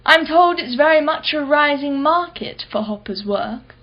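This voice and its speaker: A woman's voice: high voice, animated, a little slow, highs cut off. An actress playing a wealthy, condescending and sardonic female art collector in a radio play. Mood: defensive. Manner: condescending, mocking, self-satisfied.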